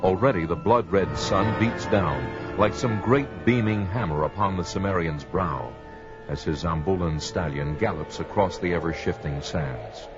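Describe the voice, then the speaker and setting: A grave male storyteller, narrates in a very deep voice with solemn, portentous phrasing. Music plays clearly behind him on a dull vintage adventure record. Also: measured pace. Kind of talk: dramatic scripted narration.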